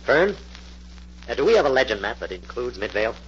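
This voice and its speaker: An actor playing a confident, methodical scientist-sleuth with an American accent and a precise, authoritative manner, in 1940s radio style. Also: male voice, mid-range voice, big pitch swings, brisk, a bit bright. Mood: musing, assured. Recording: muffled, noisy old-time radio recording.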